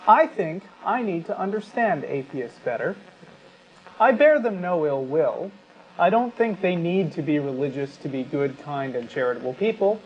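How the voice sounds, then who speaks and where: A man co-hosting a talk show, speaking in a deliberate, emphatic, articulate way, whether reading aloud or giving his opinion. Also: a little high, highs cut off. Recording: steady background noise.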